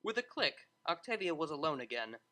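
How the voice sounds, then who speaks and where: Animated, bright story narrator. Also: medium pitch, brisk. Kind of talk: scripted dramatic story narration.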